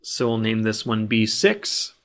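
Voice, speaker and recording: A man narrating a software tutorial in a plain, instructional way, explaining each step as he does it. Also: fairly low pitch, expressive, a little slow. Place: voice-over of a screen recording.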